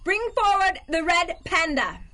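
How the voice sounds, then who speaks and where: An actress playing a bossy, officious superheroine in a 1930s-style radio drama, stern and formal in manner. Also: female voice, very high voice, lively intonation, measured pace, sharp tone, full character voice. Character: bossy, officious female superhero presiding over a council.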